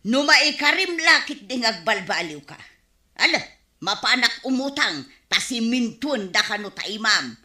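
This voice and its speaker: An exasperated wife in a radio comedy, nagging and insistent. Her voice is high and very sharp, with a roomy echo around it. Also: sing-song, measured pace, exaggerated voice. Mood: scolding. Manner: scolding, insistent. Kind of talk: comedic radio drama acting.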